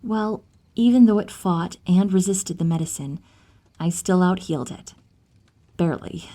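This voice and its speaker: A woman acting an inquisitive, determined divine healer and wife in an ASMR audio roleplay, soft and thoughtful, at times whispery. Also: lowish voice, unhurried.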